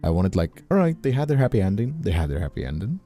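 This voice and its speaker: Male streamer, low and very dark mellow voice, animated rambling delivery, music behind. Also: fast talker. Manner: excitable, rambling, interrupts. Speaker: streamer and voice actor. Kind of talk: casual livestream chat.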